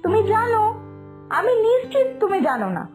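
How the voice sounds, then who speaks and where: A woman's voice: very high voice, big pitch swings, moderate pace. A woman voicing a sensible, outspoken young daughter in a cartoon moral tale, lecturing earnestly. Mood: challenging. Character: sensible, outspoken young daughter arguing patiently against superstition. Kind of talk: Bengali moral-story cartoon dialogue. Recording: music behind the voice.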